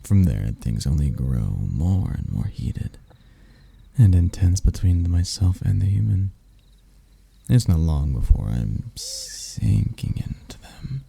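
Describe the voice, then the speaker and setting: A man acts out a suave male demon prince in an intimate ASMR roleplay, his low voice thick with gravelly fry. He is arrogant and seductive, taking his time over every taunt and sliding toward a breathy murmur. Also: big pitch swings, warm tone, dry recording, full character voice. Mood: condescending, sultry. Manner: smooth-talking, taunting, unhurried, dropping into whispers. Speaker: voice actor.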